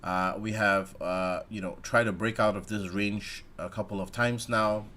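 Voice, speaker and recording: A man hosting a casual stock market livestream, his voice slightly raspy from a sore throat he is getting over, talking in a relaxed, chatty way with frequent "uh" fillers. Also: fairly low pitch, measured pace.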